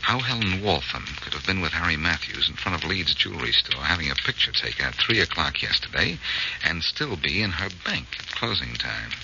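A muffled, noisy vintage radio recording carries a confident detective with a low voice that swings widely in pitch. He is brisk and wry, reasoning aloud in the manner of forties radio mystery drama. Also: sing-song, full character voice. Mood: pensive. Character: confident, sharp-witted male amateur detective.

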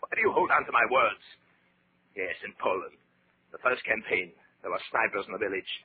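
An actor playing a bullying, secretly terrified German officer in a 1940s radio horror drama, barking commands insistently. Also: male voice, on the low side, brisk, slightly rough. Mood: uneasy, explaining. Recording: scratchy, narrow-band 1940s radio recording.

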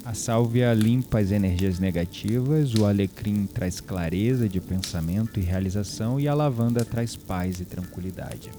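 A man playing a calm, mysterious ritual guide with a Brazilian accent in an audio drama, speaking instructively. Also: fairly low pitch, normal speed, few pauses, over music, natural voice. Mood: calm, enigmatic.

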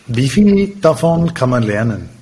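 A man's voice: mid-range voice, very animated, unhurried, mellow, husky. A man hosting a podcast interview, asking questions with short prompts and joking asides. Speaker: podcast host.

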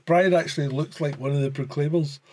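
A man's voice: a little high, steady tone, fairly quick, a crowd in the background. A man co-hosting a podcast, chatting casually, telling crude jokes, swearing and laughing a lot. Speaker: podcast co-host.

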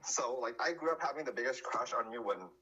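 Man, podcast guest, halting and repetitive, muffled sound, faint hiss. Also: mid-range voice, lively intonation, sharp tone. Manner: hesitant, many restarts and fillers. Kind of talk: casual podcast conversation.

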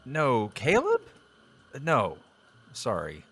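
A man's voice: medium pitch, wildly swooping pitch, slow pace, vocal fry, a bit bright, husky, exaggerated voice. An actor playing an awkward, evasive young man on a blind date in an audio drama comedy, hesitant, stammering and apologetic. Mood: confused, apologetic. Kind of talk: audio drama acting, romantic comedy dialogue.

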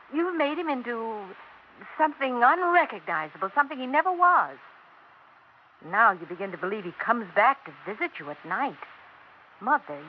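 An American actress playing a blunt, exasperated grown daughter in an emphatic, confrontational 1960s radio drama style. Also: female voice, a little high. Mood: bitter, insistent. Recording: muffled, noisy 1960s radio recording.

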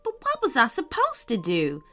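A woman voicing a sad, tired, soft-hearted farmer with a slow, mournful way of talking in a children's story. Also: on the high side, wildly swooping pitch, full character voice. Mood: aggrieved, sad.

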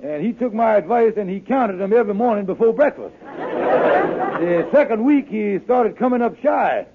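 A high-pitched, wheedling radio schemer. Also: male voice, expressive, moderate pace, crowd noise. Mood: sly, smooth. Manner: blustering, folksy, ungrammatical dialect delivery. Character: scheming, wheedling male con-man landlord in a radio comedy. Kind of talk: 1950s radio comedy acting. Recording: tinny, noisy old radio, studio audience.

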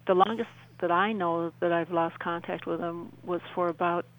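A middle-aged woman explaining earnestly as a radio interview guest, halting and repeating phrases for emphasis. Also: fairly low pitch, little pitch movement, low-quality recording.

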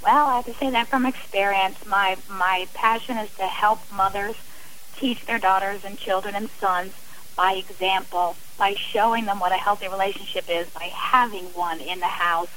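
A woman's voice: mid-range voice, steady tone, moderate pace, fairly bright tone, a little hiss or hum, low-quality recording. A woman speaking earnestly and effusively as a guest in a radio interview. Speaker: interview guest, abuse survivor and advocate.

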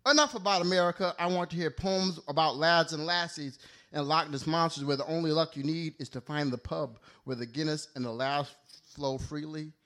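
A man's voice: a little high, a little fast, a bit bright. A man performing a slam poem live, with a playful, rhyming, list-driven delivery. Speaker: slam poet. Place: live poetry slam venue.

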